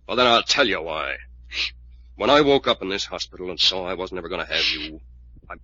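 A man's voice: low-pitched, a little fast, bright, forceful tone. An actor playing a bitter, possessive mob leader in old-time radio melodrama, talking like a menacing tough guy. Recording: muffled vintage radio recording.

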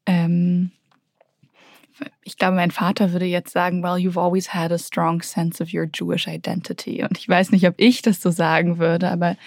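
A woman speaks as a reflective interview guest. Her voice is mellow and fairly low, with little melody. She feels her way through sentences and sometimes slips into English. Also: dry recording, clean studio sound. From Berlin, Germany. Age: 20s. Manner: hesitant, slipping in English phrases. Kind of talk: reflective conversational interview talk.

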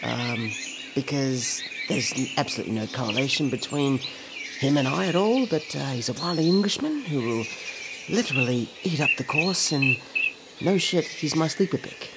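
A man's voice: medium pitch, animated, moderate pace, heavy background noise. A man co-hosting a crude, joking podcast about sport and racing. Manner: swearing, joking, laughing often. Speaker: podcast co-host. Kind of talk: crude casual banter.

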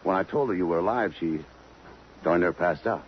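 A man's voice: deep voice, moderate pace, natural voice. A man with an American accent playing a tough, blunt police lieutenant in a 1950s radio drama, questioning in a clipped, hard-boiled way. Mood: casual, dry. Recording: dull, noisy old radio recording.

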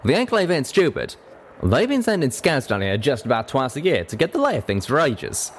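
A young cowboy pegasus from an audio story, performed by a man, talks in a folksy Southern or Western American drawl. He is bashful and plain-spoken, pitched well above the narrator, with some noise behind him. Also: big pitch swings, brisk, close to an everyday voice. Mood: reluctant, plain-spoken. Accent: American South or West drawl.

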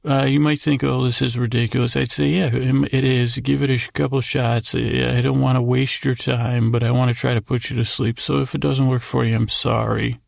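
A man hosting a bedtime podcast in a rambling, halting monologue, drifting off into digressions with a heavily creaky, gravelly voice. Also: medium pitch, flat delivery, no room sound, studio quality. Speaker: podcast host.

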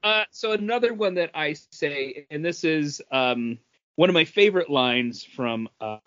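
A man's voice: a little high, expressive, unhurried, fairly bright tone, dry recording, highs cut off. A man co-hosting a podcast in casual chat, telling anecdotes and acting out little exchanges as he goes. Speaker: podcast co-host.

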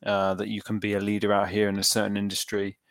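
A man in his thirties answering interview questions in a thoughtful, halting way, full of ums and restarts. His voice sits low and comes through smooth and resonant. The recording is close and dry, with little room sound. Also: completely flat delivery, no room sound. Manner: thoughtful, hesitant with many fillers and restarts. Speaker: entrepreneur guest.

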